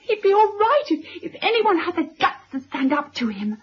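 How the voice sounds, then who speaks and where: An actress playing a petulant, emotional young daughter in a melodramatic radio drama, pleading and accusing. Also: female voice, very high voice, very animated, normal speed. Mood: bitter, accusing. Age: young adult. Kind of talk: 1970s radio drama acting. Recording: dull old radio recording.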